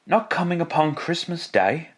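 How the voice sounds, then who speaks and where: A man's voice: a little high, expressive, moderate pace. A man playing a devoted, earnest working-class father in a classic radio drama. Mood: dismayed.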